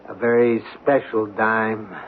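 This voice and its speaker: An actor playing a desperate working-class husband in a 1970s radio drama, blunt, urgent and rattled. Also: male voice, fairly low pitch, little pitch movement, slow pace. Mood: cold, menacing. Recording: phone-like, noisy vintage radio recording.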